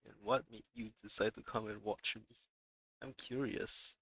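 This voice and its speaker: A man's voice: lowish voice, moderate pace, gravelly, no room sound, rough recording. A young man chatting casually and animatedly as he livestreams a video game. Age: young adult. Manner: animated, chatty, reacting to gameplay. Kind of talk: casual livestream chat while playing. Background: video game music.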